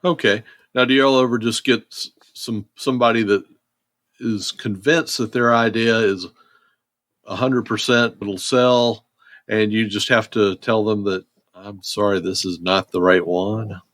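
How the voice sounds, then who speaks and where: A man's voice: lowish voice, steady tone, unhurried, a bit bright, no echo, clean studio sound. A man hosting an interview, asking easygoing, conversational questions. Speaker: podcast host.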